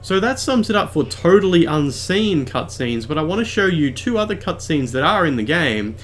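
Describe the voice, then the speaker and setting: A man giving a measured, explanatory voice-over for a game video. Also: a little high, a bit bright. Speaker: video essayist. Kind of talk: scripted game commentary voice-over.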